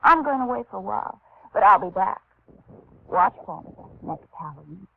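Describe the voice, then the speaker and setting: An actress playing a cool, flirtatious, knowing femme fatale in 1940s radio noir style. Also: female voice, mid-range voice, expressive, moderate pace, vocal fry, breathy edge. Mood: teasing, resolute. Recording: thin, phone-like old radio broadcast.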